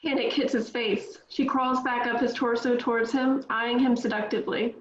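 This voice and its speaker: A woman reads screenplay directions at a table read, her voice a little high. The room is echoey and the sound is dull and lo-fi. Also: flat delivery, moderate pace. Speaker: script reader.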